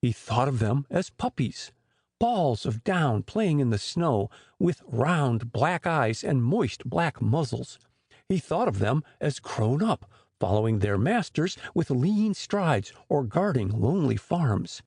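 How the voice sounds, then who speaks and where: A man with a husky voice narrating a scripted story as an expressive audiobook storyteller. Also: mid-range voice, slow, no echo, clean studio sound.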